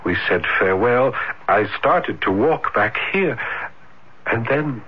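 An actor playing a shy, ardent young dreamer in a 1970s radio drama, hesitant and breathless with florid romantic phrasing. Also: male voice, medium pitch, lively intonation, slow pace, slightly rough. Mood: yearning. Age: young adult. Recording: muffled noisy old radio broadcast.

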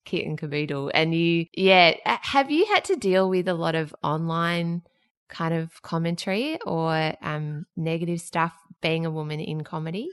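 A woman, interviewing a guest in a lively conversational way and laughing often. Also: sits low, normal speed, clear, resonant tone. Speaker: podcast host, interviewer.

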